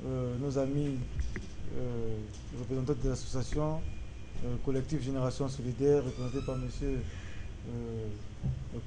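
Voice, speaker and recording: A male talk show host with a Congolese accent addresses his viewers in a mellow voice. His delivery is halting and hesitant, with repeated words, over faint background noise. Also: mid-range voice, poor audio. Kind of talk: spoken show wrap-up to viewers.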